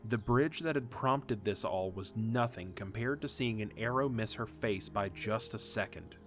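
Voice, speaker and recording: Male narrator, low voice, measured scripted reading, faint music.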